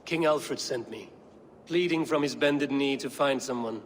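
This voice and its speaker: A man's voice: medium pitch, a little slow, a little hiss or hum, close to an everyday voice. Blunt, proud Viking warrior, acted by a man. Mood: wry, resolute. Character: bold, proud male Viking warrior, terse and menacing. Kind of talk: dramatic video game acting.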